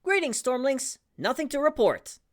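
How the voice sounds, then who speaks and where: A woman's voice: high voice, big pitch swings, moderate pace, voice pushed up. A woman voicing a chipper, dutiful video game gatekeeper who gives formulaic greetings and reports.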